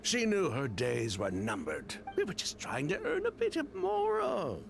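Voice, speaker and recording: A defiant, embittered male swindler from a video game. He speaks slowly and emotively in a mid-range voice with big swings of pitch, over clearly audible music. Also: wildly swooping pitch, heavily acted. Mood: bitter, defensive. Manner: deliberate, emotive. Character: bitter, defiant male swindler facing prison. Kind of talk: dramatic video game voice acting.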